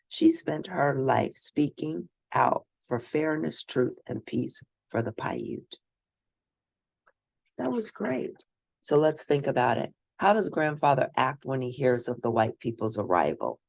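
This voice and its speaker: A woman reading a children's story aloud and discussing it in an expressive, teacherly manner. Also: rumbling low, creaky, no room sound. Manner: expressive, teacherly, asks questions of listeners. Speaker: storybook reader.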